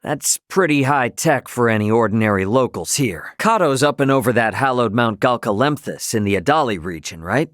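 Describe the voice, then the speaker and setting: A man acts out a young male video game adventurer with a cocky, easygoing streak and a loyal heart. His mid-range voice swings up and down with lively expression. The phrasing is casual and wry, recorded dry with no room sound. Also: mid-range voice, moderate pace, few pauses, dry recording, natural voice. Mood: concerned, urgent. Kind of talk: acted video game dialogue.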